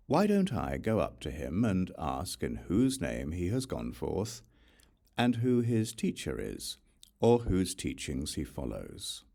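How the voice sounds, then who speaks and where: A man's voice: sits low, huge pitch swings, slow, creaky, mellow, exaggerated voice. A man voicing an earnest, eager wandering seeker of truth in a scripture audiobook, polite and intent in his questions. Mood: thoughtful.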